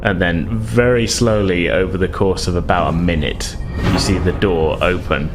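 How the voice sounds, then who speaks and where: A man's voice: low voice, moderate pace. A man narrating a tabletop role-playing game as game master, feeling his way through the descriptions. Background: music.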